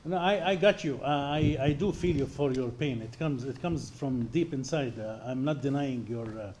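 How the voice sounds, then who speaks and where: Emphatic man with a warm, mellow voice. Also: medium pitch, moderate pace, slight background noise. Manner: forceful, emphatic, argumentative. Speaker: guest lecturer answering questions. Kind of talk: lecture Q&A answer.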